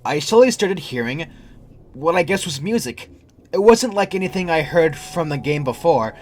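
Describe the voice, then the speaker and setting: A man's voice: a little high, animated, normal speed, a little hiss or hum. A young adult man reading a scripted first-person creepypasta as its narrator. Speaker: horror story narrator.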